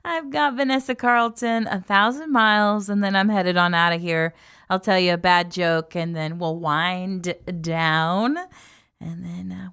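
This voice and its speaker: A woman's voice: medium pitch, fairly bright tone, smooth. A woman hosting morning radio on a music station, presenting live in a casual, chatty and giggly way. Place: local radio studio.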